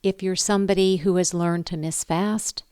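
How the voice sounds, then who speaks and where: A woman with a fairly low voice speaks casually to the camera as a hobby video host. She is earnest and encouraging, stressing her points by repeating them. The sound is dry and close, with little room echo. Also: steady tone, moderate pace, darkish tone, clean tone. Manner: earnest, encouraging, emphatic repetition. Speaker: ham radio video host. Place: indoor room downstairs at home.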